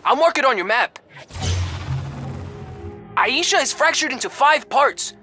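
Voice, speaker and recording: A woman playing a brash, eager young hacker in a video game, informal and excitable. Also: high voice, unhurried, soft background music, heavily acted. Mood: focused.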